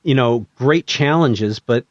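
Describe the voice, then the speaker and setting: A man's voice: medium pitch, slow, dry recording. Male podcast host, dry muffled audio. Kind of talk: podcast intro and interview.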